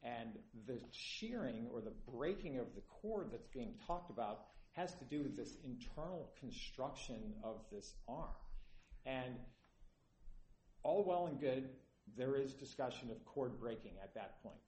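A man arguing an appeal as attorney in court, earnest and halting with many fillers, sounding tired. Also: mid-range voice, lively intonation, mellow, slight background noise. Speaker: appellant's attorney. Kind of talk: courtroom oral argument. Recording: echoey courtroom.

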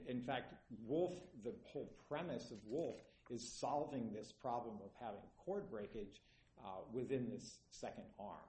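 A man arguing an appeal as attorney in court, earnest and halting with many fillers, sounding tired. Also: medium pitch, mellow, a little hiss or hum. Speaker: appellant's attorney. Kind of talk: courtroom oral argument. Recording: echoey courtroom.

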